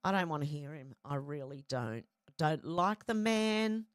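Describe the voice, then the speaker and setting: A woman's voice: deep voice, expressive, unhurried, no echo. A woman from Ireland talks through news posts, reading them out and then reacting in a loose, chatty way. Her voice is recorded dry and close, with almost no room sound. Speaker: news commentary host.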